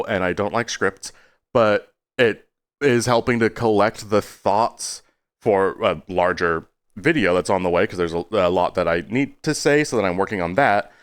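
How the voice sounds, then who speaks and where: A man's voice: on the low side, fairly bright tone, clean tone, dry recording, clean studio sound. A man giving a casual, rambling video update in a self-deprecating way, stopping and starting and slipping into exaggerated tones.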